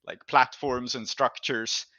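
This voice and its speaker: A man running a tabletop role-playing game, improvising scene descriptions and rules calls in a halting, thinking-aloud way. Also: mid-range voice, steady tone, sharp tone, dry recording.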